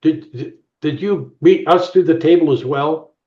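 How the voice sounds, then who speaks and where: A middle-aged man, an actor, chatting casually in an interview and often repeating words as he gets to his point. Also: fairly high pitch. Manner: halting, repeats words as he talks. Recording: roomy, distant, dull call audio.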